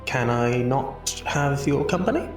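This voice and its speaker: An actor playing a genial, teasing Victorian doctor with a courteous, slightly formal manner, recorded at a distance in a roomy space. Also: male voice, medium pitch, animated, measured pace, music in the background. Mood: playful. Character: male Victorian hospital doctor, genial, teasing, enthusiastic anatomist. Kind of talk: audio drama acting.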